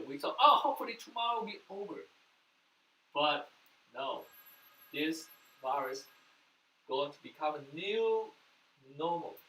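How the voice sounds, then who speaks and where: Man, earnest sermon, loud bursts and soft drops, roomy echo, faint noise. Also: high-pitched. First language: Mandarin. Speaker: pastor. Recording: echoey room, mic at a distance, faint noise.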